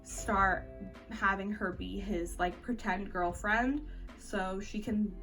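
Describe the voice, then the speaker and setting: A woman's voice: mid-range voice, steady tone, slow, fairly bright tone. Woman, casual vlogger, chatty reviewing style, informal phrasing, a bit off-mic, music playing underneath. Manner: informal, conversational, frequent filler "like". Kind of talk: casual chatty monthly reading wrap-up. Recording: distant mic with music behind. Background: background music.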